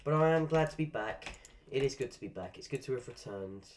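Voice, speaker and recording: A man talks through his game on stream in a loose, rambling way, cursing at it from time to time. His voice sits at an ordinary middle pitch. Faint keyboard clicks and typing come through behind him on his desk mic. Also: expressive, a little fast, a little hiss or hum. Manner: chatty, swears at the game, rambling asides. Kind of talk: casual live-stream commentary. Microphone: desk microphone.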